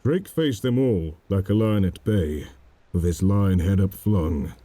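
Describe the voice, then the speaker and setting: A man narrating a dramatic poem in a solemn, measured manner. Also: low voice, animated, mellow, no room sound. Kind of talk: dramatic poetry recitation.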